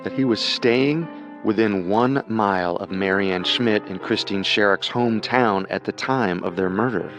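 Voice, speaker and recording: Casting: male true-crime narrator, lowish voice, measured and dramatic, light music underneath. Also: fairly bright tone, highs cut off. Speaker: podcast co-host and narrator.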